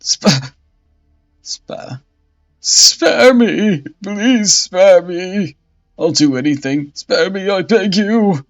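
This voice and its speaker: A man's voice: lively intonation, very slow, exaggerated voice. A man voicing a cowardly old male bishop who shrieks, stammers and begs in terror. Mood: begging. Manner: shrill, stammering, begging. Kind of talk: hobby voice acting of a dark fantasy scene. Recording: dull lo-fi audio with faint music.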